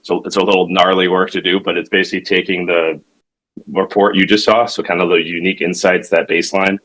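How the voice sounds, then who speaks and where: A man giving an informal product demo as a tech founder, talking in a halting, conversational way with self-corrections and frequent check-ins. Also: low-pitched, little pitch movement, fairly bright tone, rough recording. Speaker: tech company founder demonstrating his product.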